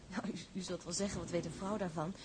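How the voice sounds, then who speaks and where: A woman's voice: fairly low pitch, fairly even intonation, a little fast, slight background noise, close to an everyday voice. An actress playing a passionate, lovestruck female newspaper reporter in a Dutch drama, emotional and intense. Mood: hesitant, shy. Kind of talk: Dutch broadcast drama acting.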